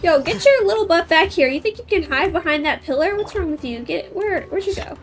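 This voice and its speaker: A high-pitched woman streams a game and chats off the cuff. Her talk is informal and rambling, with soft music behind her. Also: expressive, fairly quick. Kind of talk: casual chat while playing a game.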